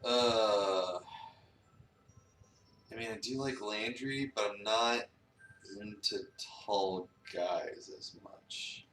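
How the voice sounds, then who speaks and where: A man's voice: lowish voice, very slow, long pauses, a bit bright, a little hiss or hum. A man streaming a visual novel with a co-host, chatting casually between stretches of reading aloud. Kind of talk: casual chat and dramatic reading. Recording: echoey room, distant mic.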